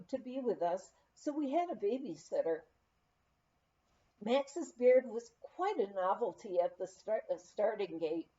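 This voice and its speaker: A woman reading a memoir aloud in an expressive, unhurried storytelling manner. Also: a little high, dull audio. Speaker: audiobook reader.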